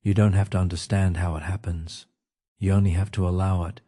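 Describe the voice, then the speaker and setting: Man, low-pitched, dark relaxed tone, calm soothing delivery, short phrases, guided sleep meditation. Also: brisk.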